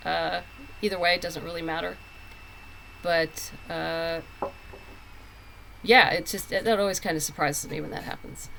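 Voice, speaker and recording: Female interview guest, bright forceful voice, conversational, slips into quoted asides. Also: lowish voice, steady tone, moderate pace, vocal fry, pauses often, a little hiss or hum, rough recording. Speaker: interview guest, comic book writer.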